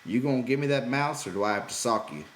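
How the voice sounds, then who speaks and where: A man voicing a gruff, bossy ranch hand in an exasperated rustic dialect for an audiobook. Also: medium pitch, lively intonation, quick pace, fairly bright tone, voice pushed up, natural voice. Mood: stern. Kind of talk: character voice in audiobook reading. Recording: roomy echo, background noise.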